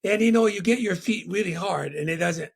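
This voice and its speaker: A middle-aged man from Tonga telling his life story as an interview guest, reflective and warm, with a constant "you know.". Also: high-pitched, fairly quick, vocal fry, husky.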